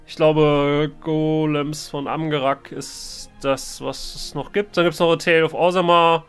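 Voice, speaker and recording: A man's voice: a little high, flat delivery, unhurried, fairly bright tone, smooth. A man giving casual let's play commentary, hesitant and rambling as he thinks aloud. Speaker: let's player, role-play gaming channel host. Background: game music.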